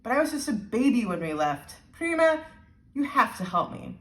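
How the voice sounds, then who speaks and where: A woman's voice: on the high side, very animated, normal speed, a bit bright, voice pushed up. A woman voicing an earnest, curious young schoolgirl in a children's storybook reading, with eager, wondering questions. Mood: earnest, worried. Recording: roomy, echoey sound.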